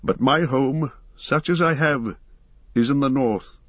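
A man reading the part of a grave, weary heir of kings, speaking calmly and earnestly. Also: mid-range voice, unhurried, no echo, highs cut off, pitched above the performer's own voice, lightly acted. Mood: dignified. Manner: calm, dignified, earnest.

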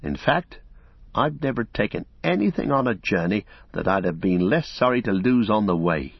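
A man voicing a blunt, loyal hobbit servant in a lowered, gruff, folksy grumble, heard in a dull, dry lo-fi recording. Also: expressive, moderate pace, no room sound, heavily acted. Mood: grumbling. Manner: grumbling, folksy and plain-spoken. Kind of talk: audiobook character voice.

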